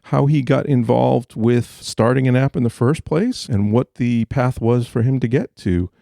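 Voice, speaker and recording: A man's voice: medium pitch, a little slow, gravelly, mellow, no echo, studio quality. A man hosting a podcast interview in a guest's home, asking curious follow-up questions in a casual way. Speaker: podcast host.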